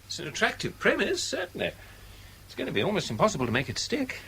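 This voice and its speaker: An urbane, well-informed man in a 1970s radio drama. His voice is fairly high and swoops expressively, with an arch, drily amused air. The recording is old, with faint noise. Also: huge pitch swings, fast talker, creaky, sharp tone, full character voice. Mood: knowing, considered. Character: urbane, teasing, well-informed male acquaintance.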